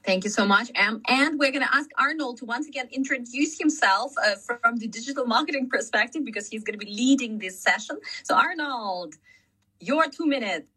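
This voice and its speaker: A woman's voice: a little high, animated, fairly quick, sharp tone, slightly rough, far from the mic, rough recording. A woman hosting an event and introducing the panel speakers. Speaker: event host.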